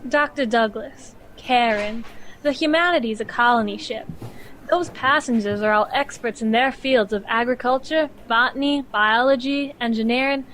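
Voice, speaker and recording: Firm, high-voiced female scientist, acted by a woman. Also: moderate pace, fairly bright tone, slight background noise, lightly acted. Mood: earnest. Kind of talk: scripted sci-fi radio play.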